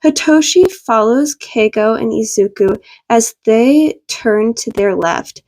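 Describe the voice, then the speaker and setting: A woman's voice: on the high side, lively intonation, slow pace, a little mellow, rough recording. A woman narrating a story from a script. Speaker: podfic narrator.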